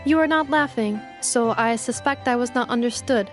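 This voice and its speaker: A woman's voice: a little high, normal speed, faint music behind, natural voice. A woman voicing a polite robot maid in a video game, formally and literally explaining things in an earnest way. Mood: earnest, explanatory.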